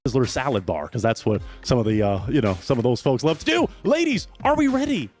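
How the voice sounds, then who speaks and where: A man's voice: medium pitch, big pitch swings, brisk, faint music behind. A man hosting a dating show, introducing it in a theatrical, showy announcer manner.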